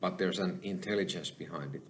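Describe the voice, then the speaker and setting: A man with a Finnish accent, hosting his own channel and talking alone to the camera, slow and halting, with many pauses. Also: sits low, a little hiss or hum. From Finland. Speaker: channel host. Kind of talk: solo spoken monologue.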